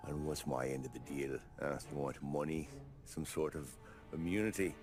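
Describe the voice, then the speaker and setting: World-weary detective, extremely low voice, American accent, sardonic, folksy slang, cinematic game drama, music behind. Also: male voice, moderate pace. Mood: probing, guarded. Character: world-weary, shrewd male police detective probing an informant. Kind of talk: cinematic video game acting.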